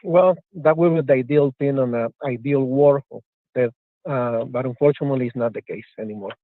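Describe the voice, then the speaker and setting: A man's voice: mid-range voice, a little fast, no room sound. Man calling in over a tinny phone. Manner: hesitant, searching for words. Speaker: caller. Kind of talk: phone call-in debate.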